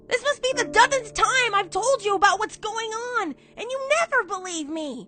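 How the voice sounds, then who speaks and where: A brash young pegasus pony mare for a fan audio drama. The voice is extremely high and swings widely. It is boastful, impulsive and full of big dramatic emphasis. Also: female voice, pushed and bright, full character voice. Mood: frantic, exasperated. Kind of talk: animated fan-fiction audio drama acting.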